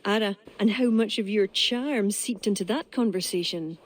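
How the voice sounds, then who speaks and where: Brief: actress as a sly young Irish woman, court fixer, teasing and wry, mid-pitched. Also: moderate pace, lightly acted. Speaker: Irish royal's aide. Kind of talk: video game cutscene acting.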